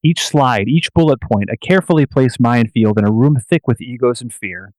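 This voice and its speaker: A man delivers scripted dramatic narration for a radio play. His voice sits in a middle register and he tells the story in measured, portentous phrases, recorded dry. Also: mid-range voice, darkish tone, dry recording, low-quality recording.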